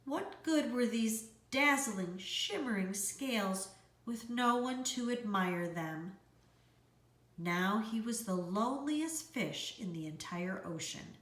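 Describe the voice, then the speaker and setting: A woman reads a children's storybook at a slow, unhurried pace. Her intonation rises and falls widely, and the room gives her voice a clear echo. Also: on the high side, animated, a bit bright. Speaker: storybook reader. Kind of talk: expressive scripted children's storytelling. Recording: roomy, echoey indoor sound.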